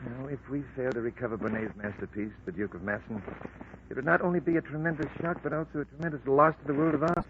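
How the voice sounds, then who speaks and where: A man's voice: mid-range voice, fairly even intonation, fast, natural voice. A man playing a formal, long-winded art patron. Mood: earnest. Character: earnest middle-aged male art patron, fussy and proud. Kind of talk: 1940s radio acting. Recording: lo-fi old radio recording with hiss.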